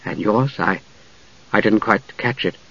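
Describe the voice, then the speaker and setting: A shy young wizard voiced by a male narrator speaks softly and hesitantly. He is earnest and lovestruck, in a fantasy storybook style recorded dry and close. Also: medium pitch, fast talker, no echo, highs cut off. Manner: soft-spoken, hesitant.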